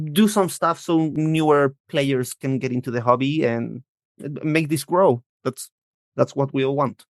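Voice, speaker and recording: A man's voice: a little high, no room sound, clean studio sound. A man in his thirties from Chile, a native Spanish speaker, chatting as a podcast guest and often searching for words. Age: 30s. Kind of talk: casual interview chat.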